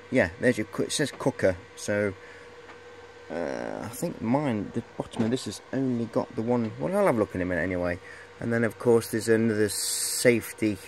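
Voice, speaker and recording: Low-voiced man, rambling over background noise. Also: low-quality recording. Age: middle-aged. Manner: rambling, hesitant, repeats "of course". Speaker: hobbyist presenter. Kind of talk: casual show-and-tell vlog. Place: cluttered indoor workshop.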